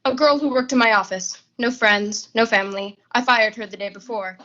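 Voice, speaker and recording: A woman's voice: a little high, moderate pace, pushed and bright, highs cut off. A young woman acting a brazen, blunt, scheming woman in a 1940s-style radio suspense play. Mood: cold confession. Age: young adult. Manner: blunt, clipped. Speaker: high school theatre student actor.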